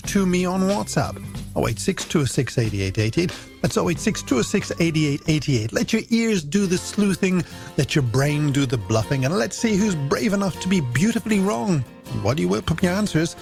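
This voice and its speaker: A man's voice: high-pitched, vocal fry. An Irish man hosting a late-night radio music show, presenting in a scripted, playful style with florid, tongue-in-cheek wordplay over a music bed. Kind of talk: scripted radio presenting with games and listener messages.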